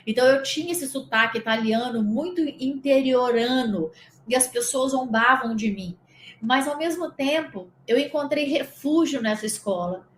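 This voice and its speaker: A woman's voice: high voice, moderate pace, reverberant, slight background noise. A Brazilian woman from the interior of Espírito Santo, a spiritual coach giving a casual guided lesson, talking straight to the listener in a rambling, chatty way. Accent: Brazilian Portuguese. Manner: conversational, rambling, speaks directly to the listener.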